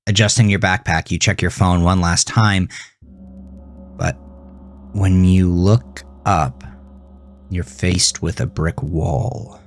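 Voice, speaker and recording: A man reading his own horror story as a dramatic, suspenseful second-person narration with drawn-out emphasis. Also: deep voice, vocal fry, no echo. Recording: music behind the voice. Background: music.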